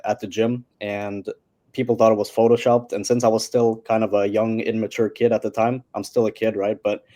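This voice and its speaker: A man's voice: fairly low pitch, little pitch movement, fairly quick, warm tone. A teenage boy from Norway, chatting as an interview guest and hesitating with many fillers. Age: teens. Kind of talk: casual interview chat.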